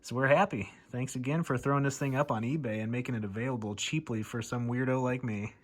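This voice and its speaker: A man's voice: medium pitch, quick pace. A man giving a casual hands-on demo and calling out as a CB radio hobbyist. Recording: clear background radio noise.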